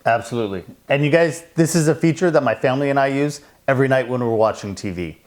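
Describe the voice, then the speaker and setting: A man's voice: mid-range voice, fast. American man presenting a product demo. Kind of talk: semi-scripted product demo narration. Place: indoors in a house.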